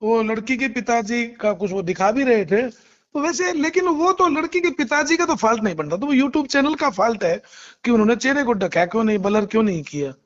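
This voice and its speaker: High-pitched male lawyer on muffled call. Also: lively intonation. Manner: halting, repeats phrases, polite frequent acknowledgements. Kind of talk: phone interview, explaining a case. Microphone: phone call. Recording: muffled, lo-fi phone call recording.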